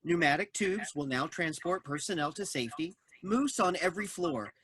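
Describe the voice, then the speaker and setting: A woman's voice: on the low side, animated, moderate pace, fairly bright tone, slightly rough, dry recording. A woman playing a polite, formal automated building computer making status announcements in a comedic audio drama. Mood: impassive, formal. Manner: formal, pre-programmed politeness.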